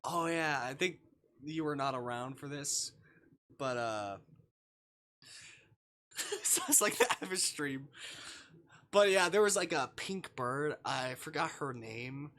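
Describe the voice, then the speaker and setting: A man's voice: on the high side, animated, takes it slowly, long pauses, bright, forceful tone. A man livestreaming a visual novel, reading the text aloud in a casual style with playful, muttered asides. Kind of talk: casual gaming stream, reading game text aloud with offhand commentary.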